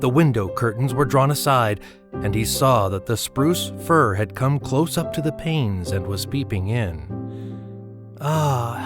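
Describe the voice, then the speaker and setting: A man narrating a Christmas story in an audio drama, with measured, expressive literary delivery. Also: medium pitch, lightly acted. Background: music under the voice.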